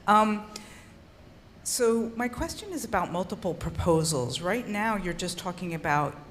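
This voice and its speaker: A woman's voice: lowish voice, lively intonation, unhurried, slight background noise. Woman asking a question in a hall. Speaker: audience member asking a question. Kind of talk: audience question. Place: large conference hall. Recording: hall reverb with faint ambience.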